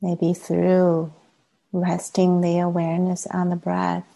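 A woman, a native Chinese speaker, leading a guided meditation as a teacher, gently and with long unhurried pauses. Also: on the low side, completely flat delivery, creaky, low-quality recording.

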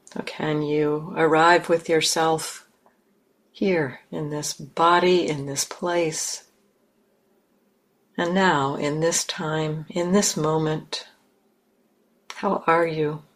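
A woman leading a guided meditation as a teacher, speaking in short, measured phrases with pauses between them. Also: low voice, flat delivery.

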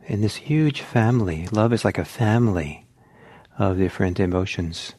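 A man teaching meditation in a gentle, reflective, halting way, leaving long pauses between short phrases. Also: lowish voice, husky. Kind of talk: guided meditation talk.

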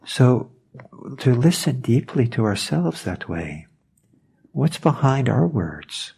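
A man whose first language is Norwegian, giving a reflective meditation talk, pausing and searching for words as he goes. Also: medium pitch, sing-song, gravelly, dark tone, husky. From Norway. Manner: thoughtful, hesitant, searching for words.

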